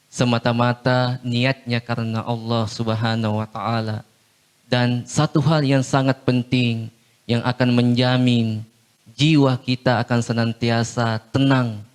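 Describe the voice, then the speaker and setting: Slow, even-toned male preacher. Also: medium pitch, clean tone. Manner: measured, solemn, phrase-by-phrase delivery with long pauses. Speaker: Islamic preacher. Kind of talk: short Ramadan sermon.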